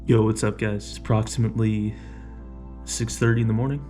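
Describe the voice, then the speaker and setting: A man's voice: fairly low pitch, little pitch movement, fairly quick, dark tone, soft background music. A man talking casually to the camera as a vlogger, laid-back and swearing now and then, his nose stuffy from allergies. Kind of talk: casual vlog talk to camera.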